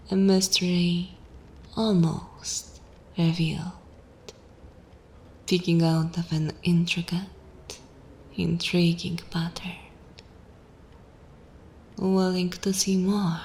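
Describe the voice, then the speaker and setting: A woman playing a seductive, cruel and jaded vampire mistress in a dark fantasy ASMR roleplay, speaking breathily in slow, commanding, theatrical phrases. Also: on the low side, a little hiss or hum. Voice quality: breathy whisper.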